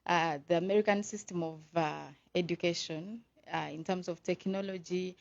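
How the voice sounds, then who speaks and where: A woman's voice: on the low side, steady tone, normal speed, gravelly, muffled recording. A woman from Uganda, a visiting English teacher, talking earnestly and with enthusiasm as a radio guest.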